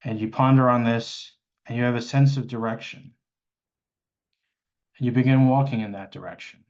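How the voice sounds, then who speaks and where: A man leading an improvised guided meditation, speaking hesitantly and pausing mid-sentence. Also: medium pitch, darkish tone, dull audio.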